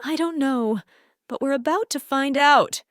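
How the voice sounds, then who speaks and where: A woman's voice: high-pitched, a little slow, fairly bright tone, no room sound, studio quality, pitched above the performer's own voice. A woman voicing an earnest, brave male detective car in a children's storybook. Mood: cautious.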